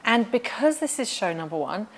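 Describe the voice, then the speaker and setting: A woman's voice: fairly high pitch, big pitch swings, normal speed, a bit bright, poor audio. A woman hosting an interview, introducing her guest and asking questions in an enthusiastic, encouraging way. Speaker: interviewer, executive coach.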